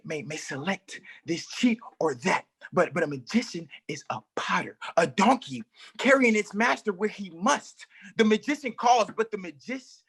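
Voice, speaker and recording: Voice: medium pitch, creaky, fairly bright tone, husky, exaggerated voice. A performer reading a male wandering magician in a play table read, stammering and theatrical. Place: live reading at an art exhibition.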